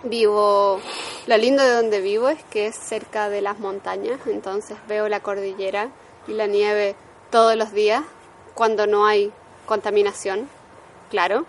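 A woman's voice: medium pitch, little pitch movement, measured pace, a little hiss or hum. A woman from Chile with a Chilean accent, a podcast guest who talks casually and explains things in a friendly way. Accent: Chilean Spanish. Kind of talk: casual conversation.

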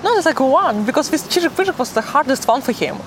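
A woman's voice: high-pitched, fast. Young Russian woman on a noisy street. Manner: animated, giggly, uses filler "like" heavily. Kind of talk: casual conversational storytelling. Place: outdoors in a city. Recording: noisy city street with traffic.

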